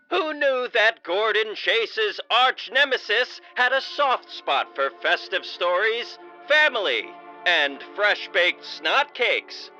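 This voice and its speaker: An actor playing an over-enthusiastic, cheesy announcer of a radio serial, in old-time radio style. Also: male voice, high-pitched, bright, forceful tone, exaggerated voice, low-quality recording.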